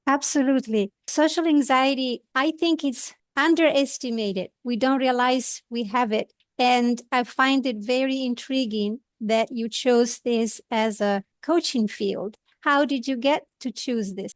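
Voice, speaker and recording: Italian woman host, accent, gentle earnest way of talking, kinda high voice. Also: unhurried, few pauses, no room sound. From Italy. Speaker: podcast host. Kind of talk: podcast interview.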